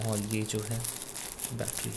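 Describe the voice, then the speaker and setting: A man with an Indian accent giving an unscripted gadget review in a halting, self-correcting way, switching between two languages. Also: lowish voice, monotone, gravelly, heavy background noise. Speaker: product reviewer. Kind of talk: unscripted gadget review, mixing Hindi with English.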